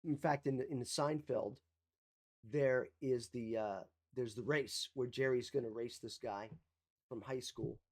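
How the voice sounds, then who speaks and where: Guy doing a pep talk on mindset, kinda raspy, slight cough, hammers the same phrases. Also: mid-range voice, fairly even intonation, measured pace. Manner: emphatic, repeats key phrases. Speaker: mindset coach. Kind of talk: motivational talk.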